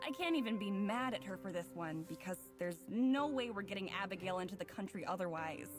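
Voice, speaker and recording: Actress doing thoughtful wry young woman telling her story, visual novel, medium pitch, music behind. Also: lively intonation, a little fast, lightly acted. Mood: wry, amused. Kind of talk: first-person visual novel narration. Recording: music playing clearly underneath.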